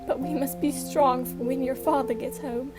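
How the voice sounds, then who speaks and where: A young woman acting a grieving mother on a school stage, speaking softly and tenderly. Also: sits high, fairly even intonation, normal speed, natural voice. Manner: soft, tender, restrained. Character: grieving mother, gentle and steady. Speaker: stage actor. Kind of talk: high school stage play. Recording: loud guitar music behind.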